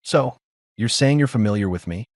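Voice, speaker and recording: A man doing a rough, wry human warrior. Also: medium pitch, animated, normal speed, no room sound, natural voice. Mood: teasing. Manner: rough, dry teasing.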